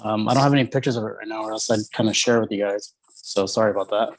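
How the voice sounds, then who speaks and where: A man's voice: on the low side, steady tone, racing, rough recording. A man chatting casually on a video call about what he is drawing, easygoing with fillers. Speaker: artist on a call. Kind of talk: casual chat.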